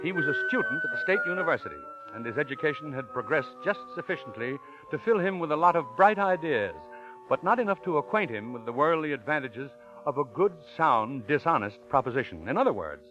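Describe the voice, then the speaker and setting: An actor playing a smooth-talking con man who poses as a learned professor, pompous and wordy, in 1940s radio drama style. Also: on the high side, huge pitch swings, brisk, music in the background. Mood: sly, self-satisfied. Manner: pompous, wordy, theatrical delivery. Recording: muffled old radio broadcast.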